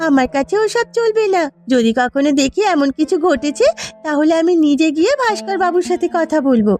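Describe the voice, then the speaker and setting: A woman's voice: high-pitched, animated, fairly quick, darkish tone, faint music behind. A woman voicing a proud, protective village housewife in a cartoon, nagging and emphatic as she speaks. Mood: bossy. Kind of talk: cartoon dialogue.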